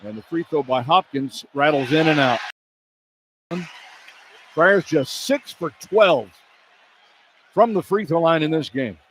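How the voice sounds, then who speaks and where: A high-voiced man calls basketball live on the radio in short, clipped bursts that follow the action. He works as the play-by-play announcer, with a light wash of arena noise around his voice. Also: unhurried, pauses often. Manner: clipped phrases, animated on big plays. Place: indoor college basketball arena. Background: arena ambience.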